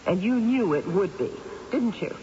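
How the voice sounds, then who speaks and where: An actress playing an anguished, sleepless middle-aged wife with a wry bitter edge, in a dramatic, halting old-time radio style. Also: female voice, mid-range voice, lively intonation, dark tone, close to an everyday voice. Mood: wounded, sardonic. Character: anguished, insomniac wife and mother, wryly bitter. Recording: muffled, noisy old radio recording.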